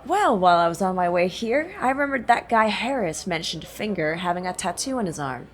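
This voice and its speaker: A woman's voice: fairly low pitch, sing-song, a little fast. A woman playing a tough, sardonic female bounty hunter in an audio drama, blunt and teasing. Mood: sly, teasing.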